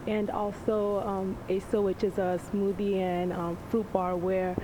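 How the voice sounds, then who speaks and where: A woman's voice: mid-range voice, a little slow. A young woman from Congo chatting casually as a panel guest, loose and full of fillers. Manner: relaxed, chatty, lots of likes and ums. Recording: noisy room.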